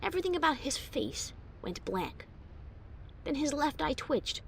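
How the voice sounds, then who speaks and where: A woman's voice: high-pitched, big pitch swings, measured pace, vocal fry, slight background noise, full character voice, low-quality recording. A woman playing a wry but secretly anxious adventurer pony who narrates her own story expressively, with bravado over nerves. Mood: guarded. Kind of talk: first-person dramatic story narration.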